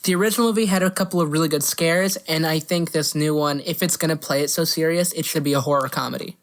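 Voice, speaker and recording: Lower-pitched woman riffing on movies. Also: fast talker. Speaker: podcast co-host. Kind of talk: casual movie chat.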